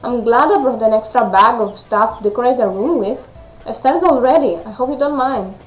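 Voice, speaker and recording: A woman's voice: a little high, brisk, reverberant, natural voice, poor audio. A woman with a Greek accent voicing a bubbly, eager teenage schoolgirl roommate in a story game, chatty and gushing.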